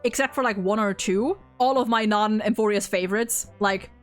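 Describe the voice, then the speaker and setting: A woman hosting a stream, chatting casually and gushing in an animated, blunt, sweary way. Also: medium pitch, moderate pace, faint music behind. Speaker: host of a game ranking stream.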